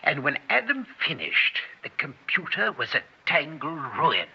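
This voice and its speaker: Dull old radio recording, male American witness, earnest academic, very sharp husky voice, animated delivery, formal wording. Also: fairly high pitch, unhurried, slight background noise, heavily acted. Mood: tense, recounting. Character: earnest, agitated academic witness recounting a double killing. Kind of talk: 1960s American radio drama acting.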